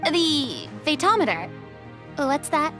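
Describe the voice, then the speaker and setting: A woman playing a sweet, curious young stage performer in a video game, polite and gently hesitant. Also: high voice, sing-song, slow pace, music in the background, full character voice.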